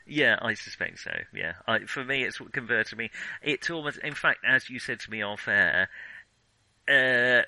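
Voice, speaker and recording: A man co-hosting a casual film discussion, thinking aloud in a halting way and often backtracking as he goes. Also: medium pitch, bright, forceful tone, rough recording. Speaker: podcast co-host.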